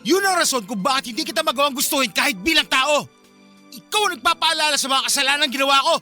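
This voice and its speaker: A bitter young husband in a radio drama, scornful and accusing. His voice is high and tense, and he fires his lines off quickly over a music bed. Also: expressive, bright, forceful tone, full character voice. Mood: bitter, resentful. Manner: dismissive, accusing. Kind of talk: Filipino radio drama acting.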